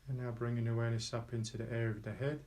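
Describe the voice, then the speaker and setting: A man leading a guided meditation in slow, soft instruction, calm and unhurried, with pauses between short phrases. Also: mid-range voice.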